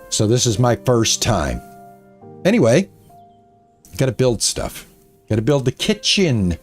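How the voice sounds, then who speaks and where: Older man in his sixties, game streamer, rambly and casual, mid-pitched voice, faint music underneath. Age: 60s. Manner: rambling and chatty, with frequent "uh" pauses; soft and quiet while petting his cat. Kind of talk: casual live-stream chat while playing.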